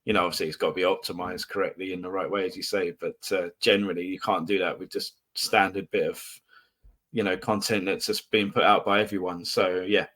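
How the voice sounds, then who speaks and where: A man's voice: low voice, fairly quick. A man hosting an interview, chatting casually with plenty of fillers. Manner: relaxed, lots of fillers like "you know" and "um". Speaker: podcast host.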